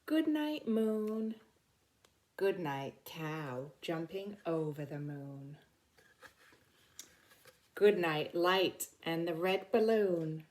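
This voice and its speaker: A woman's voice: on the low side, animated, extremely slow, pauses often, darkish tone. A middle-aged woman reading a picture book aloud to children, talking simply and directly to her young listeners. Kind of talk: read-aloud storytime for children.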